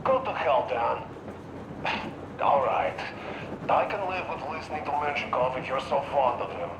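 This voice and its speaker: High-pitched, brash male radio operator. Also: measured pace. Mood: defiant. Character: cocky, irritable male radio operator of a survivor crew. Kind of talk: dramatic game dialogue. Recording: echoey, noisy radio transmission.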